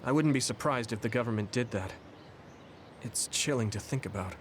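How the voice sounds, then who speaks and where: An actor playing a polite, caring young soldier in a video game, speaking gently and earnestly. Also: male voice, mid-range voice, normal speed, slight background noise, natural voice. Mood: somber. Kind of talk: English video game voice acting. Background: soft background music.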